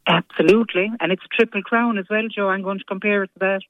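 A woman's voice: mid-range voice, steady tone, fast talker, dry recording. An Irish woman who owns a hair salon, talking as a radio guest, grateful and enthusiastic. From Ireland. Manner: grateful, enthusiastic, keeps saying delighted. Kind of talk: phone interview. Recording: tinny phone line.

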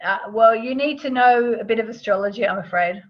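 A woman with a somewhat high-pitched voice explains things as a guest in a casual interview. Her delivery is informal and conversational. The recording is a little dull and lo-fi. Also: brisk. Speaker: astrology author, interview guest.

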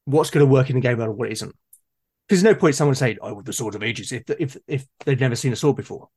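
A man with an average-pitched voice talks in a casual, discursive way. His delivery is halting, and he often repeats a word while he works out the idea. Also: a little mellow, slightly rough. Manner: halting, repeats words while forming ideas. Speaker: conversation partner. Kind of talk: casual discussion of role-playing games.

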